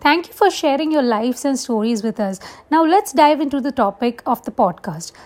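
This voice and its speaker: A woman's voice: high voice, expressive, normal speed, darkish tone. A woman hosting a podcast, asking polite questions that sound scripted. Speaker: podcast host.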